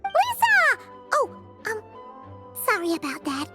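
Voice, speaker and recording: A woman voicing a tiny, chirpy, excitable floating fairy girl with a squeaky, childlike voice in a video game. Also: slow pace, loud music behind the voice, exaggerated voice. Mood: flustered. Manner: excitable, plain-spoken.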